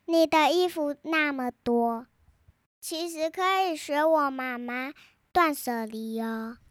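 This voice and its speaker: A woman's voice: high-pitched, slow, no echo, studio quality. A young girl playing an earnest, outspoken storybook heroine, reciting her lines carefully. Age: child.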